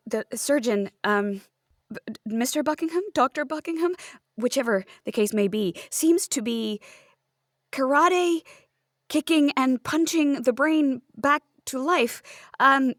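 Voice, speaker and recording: A woman's voice: high voice, no room sound, clean studio sound. Woman as a flustered, earnest investigative writer. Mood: awed. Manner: hesitant, stammering, earnest.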